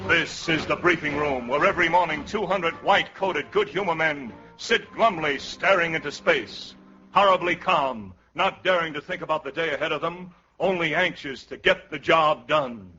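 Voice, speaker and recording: Bright-voiced solemn radio narrator. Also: male voice, a little high, moderate pace. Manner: solemn, deadpan. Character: grave, melodramatic male documentary-style narrator. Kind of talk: 1950s radio mock-documentary narration. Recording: dull old radio recording with music.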